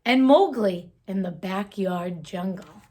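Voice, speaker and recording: A woman reading a children's picture book aloud in a gentle, expressive storytelling manner. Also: mid-range voice, slow, a little hiss or hum.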